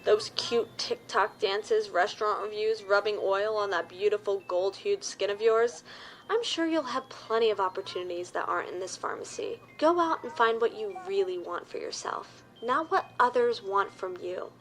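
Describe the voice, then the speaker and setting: An actress playing a blunt, patronizing boss who fancies herself the cool work mom, in a scripted podcast comedy. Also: female voice, medium pitch, lively intonation, normal speed, fairly bright tone, natural voice, rough recording.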